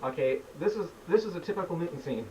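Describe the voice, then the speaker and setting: A middle-aged man showing his childhood toy scenes on home video, rambling and excited with frequent restarts. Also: sits high, brisk. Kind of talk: casual home-video show-and-tell. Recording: distant home-video mic with noise.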